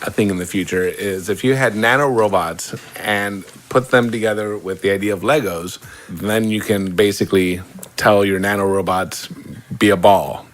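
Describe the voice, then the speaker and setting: A man casually explaining ideas as a podcast panelist, in halting bursts with restarts. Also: lowish voice. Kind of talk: casual explaining in group banter.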